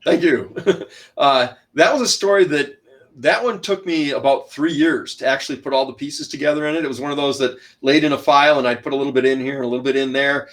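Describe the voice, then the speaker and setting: Booming middle-aged male author. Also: fast. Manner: loud, booming voice, packs in lots of detail; rambling, frequent restarts and uh fillers. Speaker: author and shipwreck researcher, guest. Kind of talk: casual online interview.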